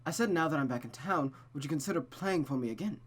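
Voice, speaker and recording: A reader voicing a flustered, earnest young nobleman with a halting, sheepish manner, in a visual novel read aloud. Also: mid-range voice, animated, natural voice.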